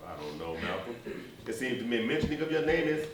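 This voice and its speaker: The measured, formal reverend you'd hear across a big echoing theatre with a hiss of noise, played by a male actor, dignified in every deliberate sentence. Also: mid-range voice, sing-song, lightly acted. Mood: composed, pointed. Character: dignified, measured preacher and civil rights leader, adult man. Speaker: stage actor. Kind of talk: one-act stage play dialogue. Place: live theatre performance, recorded from far off. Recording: distant, echoey, noisy stage hall.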